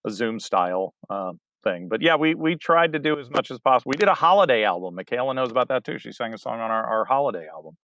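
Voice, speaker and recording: A middle-aged man giving a rambling, halting interview answer, full of fillers and restarts. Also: mid-range voice, animated, a bit bright, no room sound. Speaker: interview guest, theater director. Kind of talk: casual interview talk.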